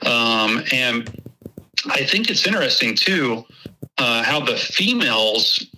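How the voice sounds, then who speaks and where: A man with a Texas accent, a guest chatting casually about a hunting trip, laughing often and pausing with ums. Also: medium pitch, big pitch swings, sharp tone, slight background noise, rough recording. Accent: Texan. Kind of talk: casual interview chat.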